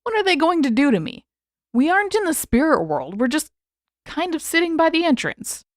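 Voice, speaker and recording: A woman's voice: high voice, very animated, normal speed, no echo, clean studio sound, pitched above the performer's own voice. A woman voicing a brash, defensive, sarcastic teenage boy in a fan fiction audiobook, with a blunt, casual, emphatic delivery. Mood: scoffing.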